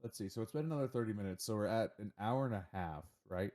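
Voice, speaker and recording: A man acting as game master, narrating casually and haltingly as he thinks aloud. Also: on the low side, darkish tone.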